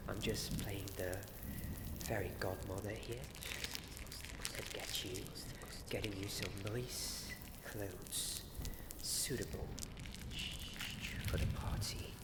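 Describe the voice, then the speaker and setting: Hushed, slow, low-voiced secretive wizard-school companion played by a man. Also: fairly even intonation. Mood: playful, soothing. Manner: hesitant, halting, hushed and confiding. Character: friendly, secretive Hogwarts companion eager for a ghost party. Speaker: ASMR roleplay performer. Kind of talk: ASMR roleplay, partly whispered. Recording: clearly noisy ambient background.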